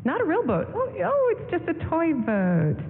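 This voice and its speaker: A tinny broadcast from a reverberant hall carries a gentle young saleswoman. Her voice sits high, and she hesitates shyly, in the style of a 1940s radio romance. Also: wildly swooping pitch, normal speed, exaggerated voice. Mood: coy, bright. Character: gentle young traveling saleswoman, shy, encouraging. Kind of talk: 1940s radio drama acting, staged live. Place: stage of a town hall, live broadcast. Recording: phone-like AM radio aircheck, echoing hall, music.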